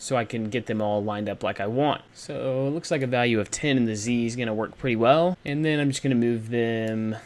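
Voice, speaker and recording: A man hosting a screencast software tutorial, explaining things step by step in an informal, casual way. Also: mid-range voice, a little fast, hardly any pauses. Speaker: tutorial host.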